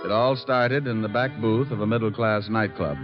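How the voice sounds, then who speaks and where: A wisecracking hard-boiled detective played by a man in an old radio show. He is breezy, sardonic and slangy on the low side of male pitch. The recording is muffled, with music clearly behind him. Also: normal speed, lightly acted. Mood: wry. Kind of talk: 1940s-50s radio drama acting and narration.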